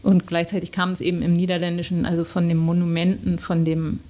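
A woman's voice: fairly low pitch, level tone, quick pace, dark tone. Hesitant German woman telling stories. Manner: hesitant storytelling, many fillers. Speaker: guest. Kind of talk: casual conversation.

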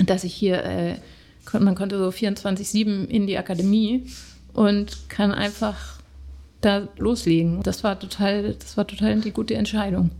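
A woman's voice: mid-range voice, steady tone, moderate pace, gravelly, dark tone. A middle-aged woman from Germany, a guest telling her story in casual conversation, hesitant with many fillers.

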